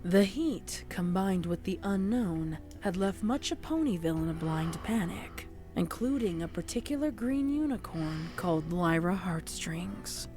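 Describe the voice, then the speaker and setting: Low-pitched woman narrating a dark story. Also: lively intonation, measured pace, few pauses, soft background music. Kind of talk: dramatic scripted horror fiction narration.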